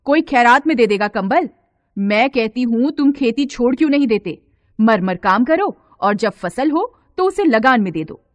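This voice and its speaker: Woman acting sharp-tongued, exasperated peasant wife, animated voice. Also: a little high, moderate pace, no echo, lightly acted. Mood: angry, sarcastic. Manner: scolding, sarcastic, emphatic. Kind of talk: dramatised audio storybook. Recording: faint noise with crickets.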